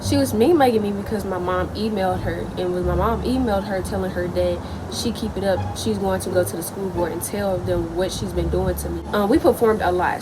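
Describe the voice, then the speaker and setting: A young woman telling a personal school story in a chatty, animated way. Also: mid-range voice, noisy background. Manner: chatty, animated, lots of likes. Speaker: vlogger. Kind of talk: casual storytime.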